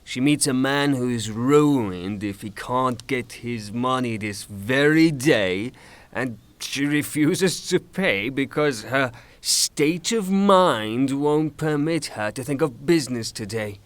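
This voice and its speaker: An exasperated, hot-tempered creditor, acted out by a man, ranting in a theatrical audio drama. He takes his time over each grievance in a mid-pitched voice, blustering and scornful of women. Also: slow pace, dry recording, lightly acted. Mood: scornful, indignant. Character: angry blustering male creditor, hot-tempered woman-hater.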